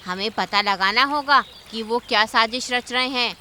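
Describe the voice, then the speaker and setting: A woman's voice: on the high side, normal speed, bright, forceful tone, slight background noise. A woman voicing a cautious, determined female bird in a cartoon, with an earnest, urgent way of talking. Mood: wary. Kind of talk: cartoon character acting.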